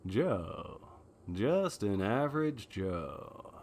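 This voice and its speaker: An actor playing a deadpan, wisecracking private eye, narrating his case in 1940s noir radio drama style with deliberate dramatic pauses. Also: lowish voice, full character voice. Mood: dry, wisecracking. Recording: long hall reverb, faint noise.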